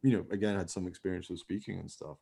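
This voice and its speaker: A man with a fairly low voice talks casually as a podcast co-host. He rambles and pokes fun at himself, keeping things informal. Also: fairly even intonation, quick pace, creaky, mellow, breathy edge. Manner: rambling, self-deprecating, jokey. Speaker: podcast co-host, radio play writer. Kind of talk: casual behind-the-scenes chat.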